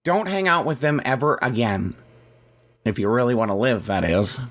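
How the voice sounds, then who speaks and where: A woman's voice: rumbling low, moderate pace. A woman playing a fussy, controlling rich man in an audio drama, whiny, pompous and indignant. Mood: haughty controlling.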